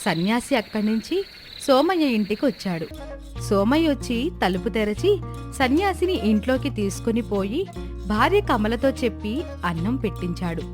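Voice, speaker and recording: Lady telling a kids folk story, voice on the high side, music behind her. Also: sing-song, moderate pace, a little mellow. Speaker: narrator. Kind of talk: scripted children's story narration.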